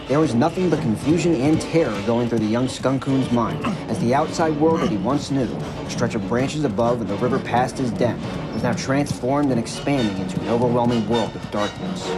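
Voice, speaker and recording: A man's voice: medium pitch, rough recording. A man narrating a scripted story for an audio drama. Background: music.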